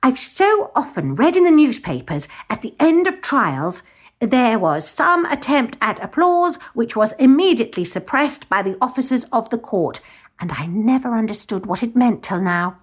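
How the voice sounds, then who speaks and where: An earnest, inquisitive storybook girl, played by a woman. She muses aloud in a high voice with a lively, rising and falling tune. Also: moderate pace, slightly rough. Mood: pleased, musing. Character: curious, thoughtful young girl in a storybook.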